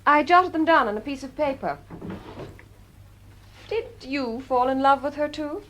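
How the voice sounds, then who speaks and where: An actress playing a smart, inquisitive detective's assistant in an audio drama, playful and probing. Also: female voice, high voice, unhurried, a bit bright, a little hiss or hum. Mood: teasing, curious.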